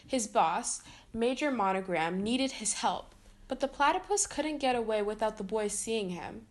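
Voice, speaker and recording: A woman with a fairly high voice reads a children's story aloud. She delivers it as scripted storytime narration, line by line from the book. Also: lively intonation, measured pace. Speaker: storytime reader.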